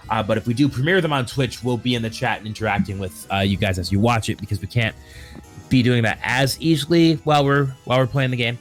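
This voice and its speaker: A man's voice: medium pitch, animated, fast talker, faint music behind. A man hosting a casual stream as a game master, making announcements in a rambling, joking way that often interrupts itself. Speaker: host and tabletop game master.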